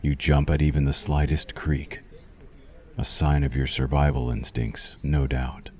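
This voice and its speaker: Man acting as suave male vampire doctor, ASMR roleplay, bottomless pitch, leisurely delivery, teasing, possessive, quietly threatening. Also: little pitch movement. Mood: clinical, teasing. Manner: formal, unhurried, teasing, softly menacing. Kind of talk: ASMR roleplay, scripted monologue to the listener.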